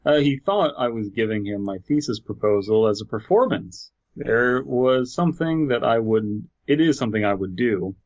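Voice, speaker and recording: A man's voice: mid-range voice, lively intonation, moderate pace, few pauses, dry recording. A man co-hosting a podcast, reading a story aloud and commenting on it casually, on a lo-fi microphone. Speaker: podcast co-host. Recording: dull, lo-fi dry mic.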